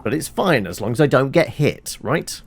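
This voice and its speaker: A man's voice: mid-range voice, lively intonation, moderate pace, slight background noise. A man giving casual running commentary while playing a game, reacting aloud and reading out item notes. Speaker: gameplay commentator.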